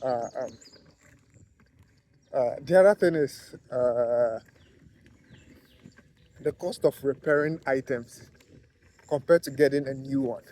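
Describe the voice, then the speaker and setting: Ghanaian guy vlogging, mid pitch voice, lots of uh and um, keeps restarting, chatty. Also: dark tone, slight background noise. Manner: halting, many fillers and restarts. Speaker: vlogger, car detailer. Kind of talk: casual talk to camera.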